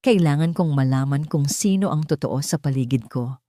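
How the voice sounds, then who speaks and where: A woman voicing an earnest, tender wealthy man in a romance audio story, speaking with slow, intimate emotion. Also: sits low, mellow, no room sound, clean studio sound, close to an everyday voice. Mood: wounded, grave.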